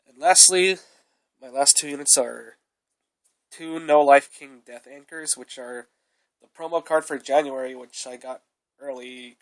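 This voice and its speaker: A young man talks through his card deck in a loose, rambling way, tacking "though" and "uh" onto most sentences. He has a cold, which makes his voice sound a bit off. His pitch sits in the middle for a man. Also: bright, forceful tone, rough recording. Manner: rambling, repeated fillers like "though" and "uh". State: sick with a cold, coughing. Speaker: card game reviewer. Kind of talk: casual deck review.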